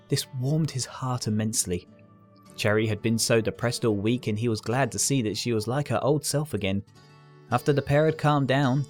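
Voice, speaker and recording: Low-pitched man narrating a story. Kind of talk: scripted fan fiction story narration.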